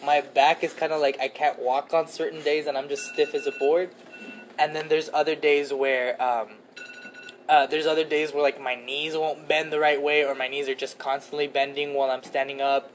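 A man's voice: a little high, flat delivery, moderate pace, a little hiss or hum, low-quality recording. A man in his twenties co-hosting a podcast, chatting in a laid-back, rambling way with lots of fillers and casual swearing. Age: 20s. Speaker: podcast co-host. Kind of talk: casual chat.

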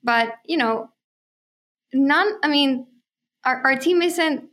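Woman from Chile, native Spanish speaker, fairly high voice, casual podcast host, hesitant phrasing. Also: slow, fairly bright tone. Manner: hesitant, thinking aloud in short fragments. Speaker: podcast host, crypto journalist. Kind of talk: casual chat.

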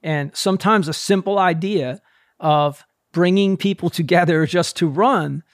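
A man's voice: high voice, measured pace, no echo, clean studio sound. A man hosting a casual conversation, talking in an enthusiastic, affirming way. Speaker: podcast host.

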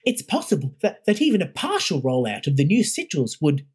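A woman's voice: low-pitched, wildly swooping pitch, fairly quick, a little mellow, slightly rough, voice pushed up, heavily acted. A narrator voicing an awkward woman professor who hesitates and stammers. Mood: nervous.

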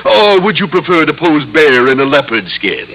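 An actor playing a fussy, excitable, gushing photographer with a fluttery manner in an old radio comedy. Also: male voice, a little high, moderate pace. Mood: excited, fussy. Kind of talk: 1950s radio comedy acting. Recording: noisy old radio broadcast recording.

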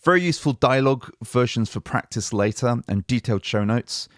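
A man's voice: medium pitch, expressive, brisk, no echo, studio quality. A man hosting a language-learning podcast, upbeat and chatty, laughing often. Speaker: podcast host. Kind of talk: casual podcast chat.

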